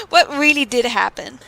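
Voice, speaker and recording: An actress playing a cheerful, playful 1930s housewife in a radio drama, with some noise in the recording. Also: female voice, sits high, fairly bright tone, exaggerated voice, rough recording. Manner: playful, affectionate.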